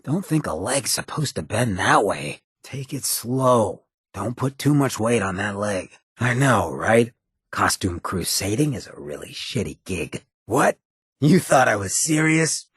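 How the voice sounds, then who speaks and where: A very raspy, gravel-throated rogue of a game character, swaggers through his lines like a small-town outlaw mayor. His pitch swings freely as he drawls streetwise, sardonic remarks. The recording is dry and close. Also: fairly bright tone, no echo, exaggerated voice. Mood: wry, teasing. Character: swaggering roguish gravel-voiced mayor, wry hedonist outlaw. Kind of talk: video game character dialogue.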